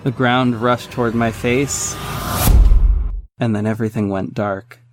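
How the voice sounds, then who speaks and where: A man's voice: medium pitch, steady tone, measured pace, close to an everyday voice. A man narrating an audio drama as its reflective hero, in scripted storytelling style. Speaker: narrator and protagonist.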